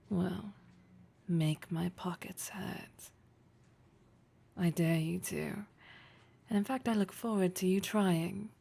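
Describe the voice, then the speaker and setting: A woman playing a poised, teasing, wealthy female boss in an intimate, breathy audio roleplay, speaking with deliberate, flirtatious formality. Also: lowish voice, mellow, full character voice. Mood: teasing, challenging. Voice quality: breathy, husky.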